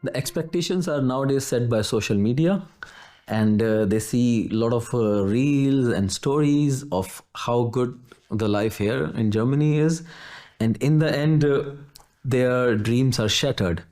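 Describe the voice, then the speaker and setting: Male interviewee, thoughtful paused delivery, light music bed. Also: medium pitch, mellow. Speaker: delivery rider and worker representative.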